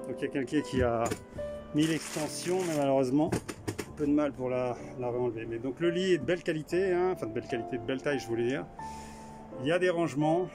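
A man casually touring a small camper van at a trade show, thinking aloud with many fillers and restarts, filmed on a handheld camera. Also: mid-range voice. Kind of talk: casual walkthrough commentary. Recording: music playing behind the voice.

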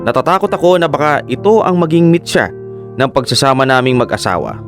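Here is a remorseful fisherman telling his story in a radio drama, quiet and reflective at a mid pitch. Clear guitar music plays behind his narration. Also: lively intonation, fairly quick.

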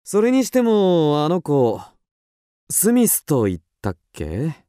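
A man playing an upper-class husband in a radio drama, suave and indulgent, teasing with gentle condescension. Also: fairly high pitch, huge pitch swings, slow, no echo, studio quality, heavily acted. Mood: amused, disapproving.

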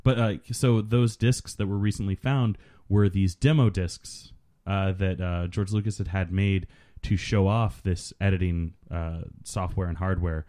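A man's voice: deep voice, steady tone, a little slow, few pauses, mellow. A man chatting casually on a film commentary podcast, explaining things in a rambling, geeky way.

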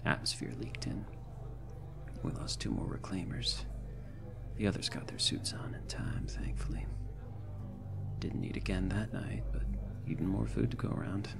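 A man playing a drunk, haunted space reclaimer in an ASMR roleplay, halting and rambling, often breathy and near a whisper. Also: low-pitched. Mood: haunted, weary. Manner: slurred, halting, rambling with muttered asides. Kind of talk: in-character ASMR roleplay monologue. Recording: loud bar ambience music behind.

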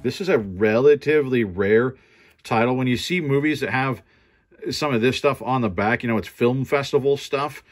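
A man's voice: medium pitch, moderate pace. A man giving casual, conversational show-and-tell commentary as an online reseller going through his sales. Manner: informal, conversational.

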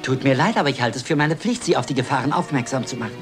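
Casting: actor as timid older gentleman sidekick, fast-talking, fussy and anxious, for a crime radio play. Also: mid-range voice, animated, faint music behind, natural voice. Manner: flustered, polite, hesitant. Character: timid, fussy, anxious male helper of a lady sleuth.

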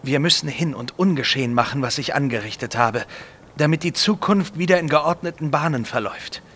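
A man's voice: on the high side, fairly quick, a bit bright, natural voice. An actor playing a grave, knowledgeable, remorseful time traveller in a Victorian audio drama, speaking earnestly as he explains. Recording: clear outdoor vehicle noise behind.

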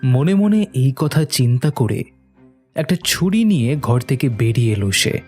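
A man's voice: mid-range voice, big pitch swings, measured pace, a little mellow, breathy edge. A man narrating a scripted horror story for a cartoon in a storytelling style. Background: background music.

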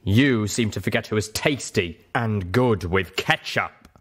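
A man voicing a big, protective male dragon with a deliberate, pointed manner in a story reading. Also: fairly low pitch, noisy background, close to an everyday voice. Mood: menacing teasing.

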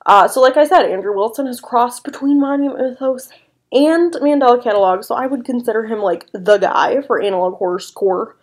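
A woman's voice: fairly high pitch, brisk. Casual young woman giving commentary. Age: young adult. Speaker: video essayist. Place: small furnished room indoors.

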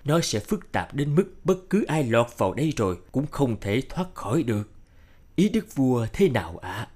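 A man playing an earnest middle-aged craftsman father in a cartoon, speaking in a measured, careful way. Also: mid-range voice, sing-song, a little mellow. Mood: respectful. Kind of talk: cartoon dub acting.

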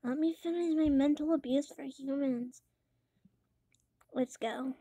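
A woman's voice: high-pitched, unhurried, mellow, a little hiss or hum. A woman voicing a mild, questioning teenage schoolgirl in an amateur comic dub. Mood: matter-of-fact.

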